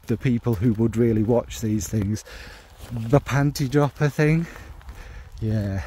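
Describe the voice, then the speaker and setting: A man chatting casually about his fragrance hobby while out walking the dog, rambling and wry as he questions himself. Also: mid-range voice. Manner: thinking out loud, wry and self-questioning. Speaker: fragrance video maker. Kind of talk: rambling walk-and-talk chat. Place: outdoors on a dog walk in the rain.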